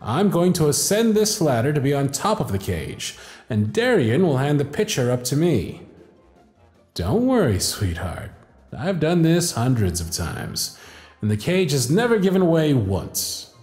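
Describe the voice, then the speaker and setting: A man's voice: on the high side, very animated, unhurried, darkish tone, reverberant, soft background music. A man playing a confident, flamboyant stage magician in a scripted ASMR audio roleplay, with grand, playful showman patter. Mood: charming.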